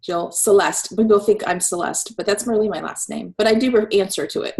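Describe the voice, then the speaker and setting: A woman in her forties with a cold, hosting a casual video call chat, chatty and quick to laugh. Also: medium pitch, darkish tone. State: snuffly from a cold. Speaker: host.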